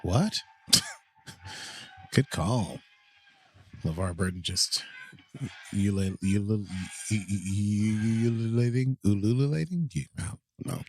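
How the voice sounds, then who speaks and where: A man's voice: fairly low pitch, slow, long pauses, a little mellow, soft background music. A man co-hosting a podcast, giving playful, goofy watchalong commentary and laughing often. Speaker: podcast co-host.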